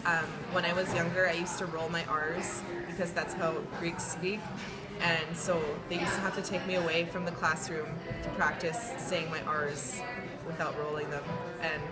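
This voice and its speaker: Calm woman with a lower voice. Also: moderate pace. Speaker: interviewee. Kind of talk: casual interview answers. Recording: loud music over the voice.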